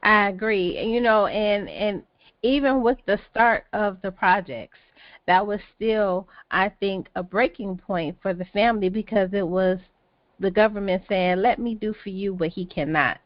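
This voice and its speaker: Woman, podcast host, conversational panel talk, mid pitch, close dry recording. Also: moderate pace, no echo, highs cut off.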